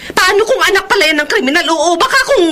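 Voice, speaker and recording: A woman playing a fretful, sharp-tongued middle-aged mother in a radio drama. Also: very high voice, lively intonation, fast. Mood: indignant, scolding. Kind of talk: Tagalog radio drama acting. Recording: noisy radio drama recording.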